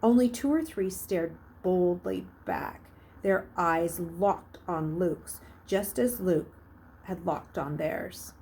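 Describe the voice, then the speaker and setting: A woman reading a children's novel aloud as a storyteller, narrating expressively, with emphatic swells and hushed phrases. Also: on the low side, slow pace, slight background noise, poor audio.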